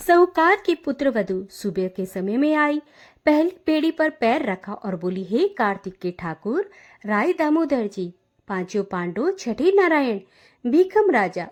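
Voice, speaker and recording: A woman narrating devotional folk tales in an expressive storytelling delivery. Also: high voice.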